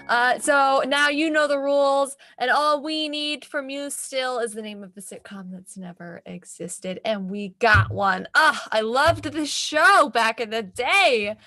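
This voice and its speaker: A woman's voice: high voice, measured pace, few pauses, pushed and bright, no echo. A woman hosting a live improv comedy show, announcing cheerfully like a showman. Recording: live piano behind.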